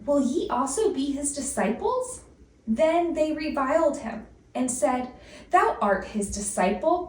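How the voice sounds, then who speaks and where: Slow-reading woman in an echoey room. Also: sits high, a little mellow. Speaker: scripture reader. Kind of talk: solemn read-aloud of King James Bible scripture. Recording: echoey room, distant microphone.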